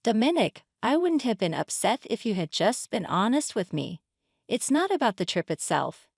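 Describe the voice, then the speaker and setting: Female narrator as hurt, indignant wife, pleading yet confrontational, animated intonation, dry story recording. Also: fairly high pitch, normal speed, vocal fry, dry recording, heavily acted. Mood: hurt, exasperated. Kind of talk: dramatized story reading.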